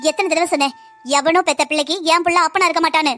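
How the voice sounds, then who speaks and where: A woman's voice: very high voice, steady tone, very fast, sharp tone, faint music behind. A woman voicing a bossy, bitter middle-aged village mother-in-law with a southern Tamil Nadu accent, scolding and sarcastic in a cartoon comedy. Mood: angry, scolding.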